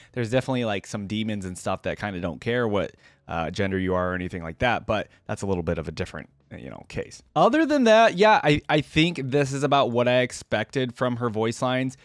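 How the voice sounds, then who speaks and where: A man's voice: mid-range voice, no echo. A man hosting a reaction video, giving informal, rambling commentary.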